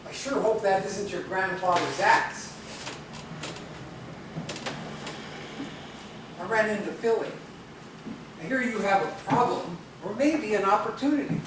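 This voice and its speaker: A man playing a folksy mentor in a stage play, talking in an unhurried storyteller's manner. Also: high-pitched, natural voice. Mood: wry. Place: theatre stage, picked up from a distance. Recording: echoey, noisy stage videotape from far off.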